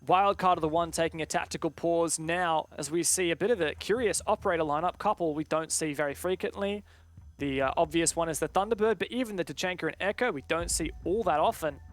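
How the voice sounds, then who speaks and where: A man doing live play-by-play esports commentary with a dramatic delivery that builds excitement around the action. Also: on the high side, fast.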